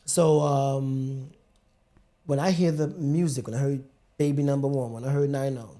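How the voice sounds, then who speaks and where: A man's voice: medium pitch, steady tone, moderate pace, mellow. A man hosting a talk show, asking rambling questions in a colloquial, chatty way. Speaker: show host. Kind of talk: casual interview chat.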